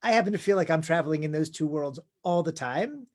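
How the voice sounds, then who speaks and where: A man's voice: fairly high pitch, expressive, fast talker. A man in his forties, a rabbi interviewing a colleague, talking thoughtfully and often restarting his sentences. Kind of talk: conversational interview.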